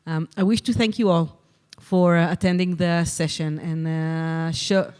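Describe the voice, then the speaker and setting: A conference session convener speaks into a handheld microphone. The voice is smooth and clear at a mid pitch. The delivery is measured, with many ums and uhs between phrases. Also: fairly even intonation, darkish tone. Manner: measured, with frequent um and uh. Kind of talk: conference moderation and answers. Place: conference hall.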